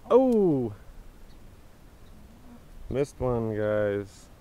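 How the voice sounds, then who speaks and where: A man vlogging outdoors by a small creek while fishing, explaining his setup in a relaxed, casual way. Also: medium pitch, very slow, smooth. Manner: relaxed, explains steps, excited shouts at fish. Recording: tinny, lo-fi with outdoor noise.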